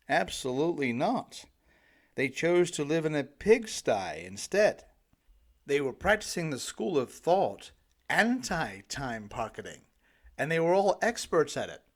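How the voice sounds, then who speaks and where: A middle-aged man reading his own self-help audiobook in a dramatic, emphatic storytelling manner. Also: on the high side. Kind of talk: scripted self-help narration.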